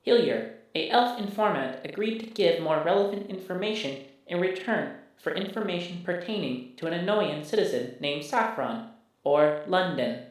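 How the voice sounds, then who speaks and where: A man voicing a computer memory system that formally recites a scripted recap in a sci-fi game. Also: sits high. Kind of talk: scripted narration. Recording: very echoey, distant processed voice.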